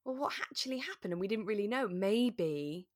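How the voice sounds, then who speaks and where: A woman's voice: mid-range voice, expressive, normal speed, no room sound, clean studio sound. A woman co-hosting a podcast, casually recapping and discussing a radio drama. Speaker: podcast co-host.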